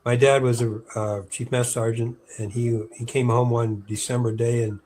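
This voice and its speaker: A man's voice: mid-range voice, flat delivery, normal speed, darkish tone, slight background noise. A man in his seventies speaking as a guest in a casual interview, rambling with many fillers and restarts. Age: 70s. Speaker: park historian guest.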